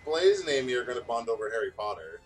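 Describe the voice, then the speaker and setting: A man's voice: mid-range voice, very animated, fast talker. Guy hosting a let's play, reads fan fiction n chats casually, bit of music under. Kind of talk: reading fan fiction aloud with casual banter.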